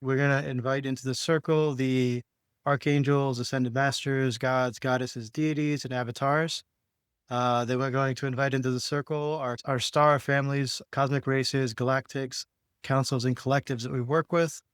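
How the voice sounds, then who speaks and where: A man's voice: medium pitch, flat delivery, moderate pace, clean tone, no echo, clean studio sound. A man speaking casually and in a laid-back way about spiritual matters, as a podcast host and healer guiding a session.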